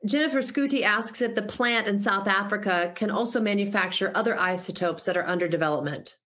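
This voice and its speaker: A woman's voice: mid-range voice, brisk, fairly bright tone, a little hiss or hum. A woman moderating a conference, politely reading out audience questions to a company executive.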